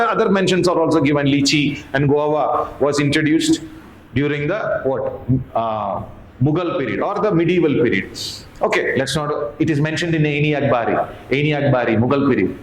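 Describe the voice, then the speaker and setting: A man with an Indian accent giving an explanatory exam lecture as a history teacher, didactically repeating key points and putting questions to his listeners. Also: on the high side. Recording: echoey room with faint background noise.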